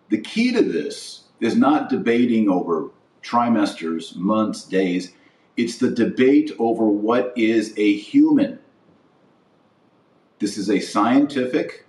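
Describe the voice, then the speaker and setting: An American man hosting a podcast, delivering a political monologue in short, deliberate, emphatic phrases. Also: low voice, a little mellow. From United States. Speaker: podcast host. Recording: roomy echo, distant microphone.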